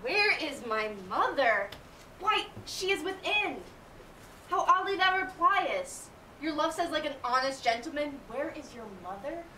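A woman's voice: very high-pitched, sing-song, slow, bright, forceful tone, heavily acted. A woman playing an impatient, lovesick young girl in a Shakespeare play, pleading and declaiming. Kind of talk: Shakespearean stage acting. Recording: echoey room, distant mic, faint noise.

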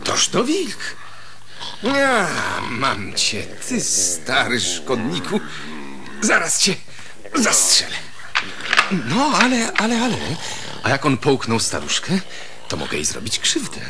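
A man's voice: mid-range voice, wildly swooping pitch, slow, pauses often, noisy background, full character voice. An actor playing a kindly, bold huntsman in a children's radio play, exclamatory and theatrical. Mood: indignant, bold.

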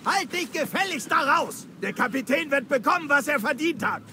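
A man playing an angry, vengeful mutinous sailor in a video game, accusing and forceful. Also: very high voice, lively intonation, moderate pace, slight background noise, heavily acted. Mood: furious.

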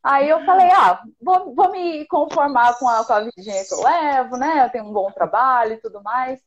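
A woman's voice: high voice, expressive, moderate pace. Woman with a São Paulo countryside accent. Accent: São Paulo countryside (caipira). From interior of São Paulo state, Brazil. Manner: hesitant, many restarts, chatty. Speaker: guest, voice-acting student. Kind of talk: casual video-call chat. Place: small room at home. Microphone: laptop webcam on a video call. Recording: lo-fi video call audio.

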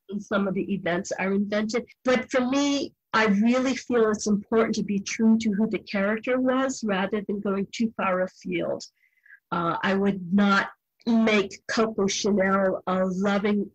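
An older woman, a novelist being interviewed, speaking reflectively in a measured, firm way. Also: medium pitch, husky, no room sound, rough recording. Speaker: guest novelist.

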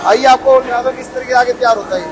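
A man showing visitors around a footwear factory, pitching its products with eager, insistent promises. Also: fairly even intonation, quick pace, a crowd in the background. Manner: eager salesman, keeps inviting and promising quality. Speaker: footwear factory owner giving a tour. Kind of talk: sales pitch factory tour. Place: footwear factory floor, indoors. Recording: noisy factory machinery behind.

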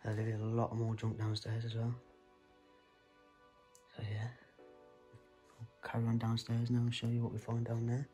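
A man filming himself with a phone as he explores an abandoned house indoors, talking low and hurried to his viewers. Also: completely flat delivery, mellow. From England. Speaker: urban explorer filming a walkthrough. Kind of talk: casual handheld vlog commentary. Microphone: handheld phone. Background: background music.

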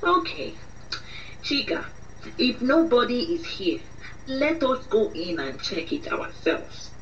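Slow, swooping scolding Nigerian auntie. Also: female voice, fairly high pitch, big pitch swings, a bit bright, full character voice. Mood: accusing, indignant. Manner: scolding, drawn-out emphasis. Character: scornful, suspicious middle-aged Nigerian auntie, blunt and accusing. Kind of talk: radio drama acting. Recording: dull, distant, faintly noisy recording.

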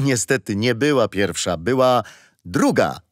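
A man's voice: mid-range voice, very animated, measured pace, no room sound, clean studio sound. An actor playing a kindly older grandfather who patiently tells stories and history in a children's radio play. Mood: playful. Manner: patient, playful teasing. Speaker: storyteller grandfather.

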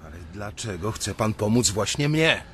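A conscience-stricken young banker in a radio drama. He has a fairly low voice and tells his own story in a measured, brooding way. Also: lively intonation, fairly bright tone, slight background noise. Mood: uneasy, challenging. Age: young adult. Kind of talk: first-person radio drama narration.

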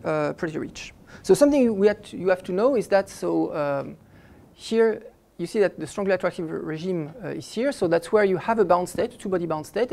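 Halting middle-aged man lecturing physics. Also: high voice, gravelly, a little mellow. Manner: halting, stops and restarts phrases often while explaining. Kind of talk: academic lecture. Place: lecture hall, indoors.

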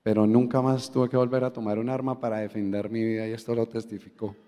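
A man's voice: medium pitch, little pitch movement, quick pace, mellow. A man giving a religious testimony to a congregation as a church member. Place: large church hall. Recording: echoing large hall.

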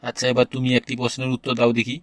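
A man's voice: mid-range voice, monotone, very fast, no echo, natural voice. A man voicing a strict, impatient education minister who quizzes pupils in a comedy cartoon. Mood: brisk, testing. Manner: bossy, interrogating.

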